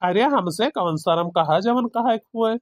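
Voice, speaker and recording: A man's voice: high-pitched, expressive, a little slow. A man voicing a busy village man in an amateur cartoon comedy, plainspoken and repetitive, with an Awadhi accent. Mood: coaxing, curious. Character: busy village man, coaxing then irritated on the phone. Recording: dull, muffled lo-fi sound.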